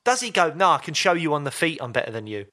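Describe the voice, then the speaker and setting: A man's voice: fairly high pitch, sing-song, fast, pushed and bright. A man co-hosting a podcast, chatting casually and playfully about upcoming fights. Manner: chatty, playful, jokes and laughs often. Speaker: podcast co-host.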